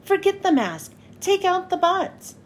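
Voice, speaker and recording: Lady doing a determined kid hero from a storybook, high and excitable, urgent, really animated. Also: a little slow, slight background noise, exaggerated voice. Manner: urgent, exclaiming. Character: excitable, determined boy hero leading his team.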